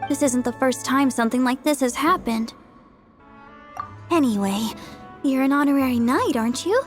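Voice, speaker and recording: A woman voicing a gentle, caring young healer in an anime video game, with a soothing, polite delivery. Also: sits high, expressive, moderate pace, soft background music. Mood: gentle concern.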